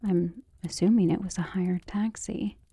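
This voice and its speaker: A woman playing a devoted, outspoken servant of a noble household in an ASMR roleplay, speaking gently and deferentially in formal phrasing, often slipping into a whisper. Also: fairly low pitch, fairly even intonation, moderate pace, breathy edge, dry recording. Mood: polite, hesitant. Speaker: voice actor in an ASMR roleplay audio drama. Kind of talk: soft-spoken ASMR roleplay acting, often dropping to a whisper.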